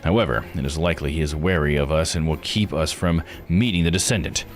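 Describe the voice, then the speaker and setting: Man voicing a low, formal, calculating investigator. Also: fast talker. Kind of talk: visual novel dialogue read aloud. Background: music.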